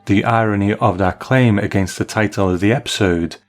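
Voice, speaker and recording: A man hosting a podcast, reading a listener's email aloud in a measured, articulate way. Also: fairly low pitch, soft background music.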